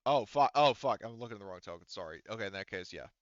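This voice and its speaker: A man's voice: fairly low pitch, animated, fast, dry recording, lo-fi sound. A man with an informal, sweary way of talking, running an online tabletop roleplaying game as game master.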